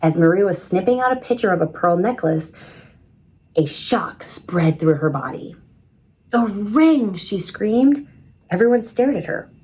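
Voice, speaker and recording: A woman reading a children's story in an expressive, measured storytelling manner. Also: on the low side, poor audio. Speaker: storybook reader. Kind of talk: scripted children's story narration.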